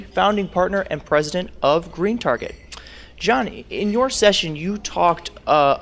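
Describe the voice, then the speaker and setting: A man hosting a web TV interview at a professional conference, asking questions as interviewer. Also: fairly high pitch. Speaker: interviewer and host. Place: on location at a conference.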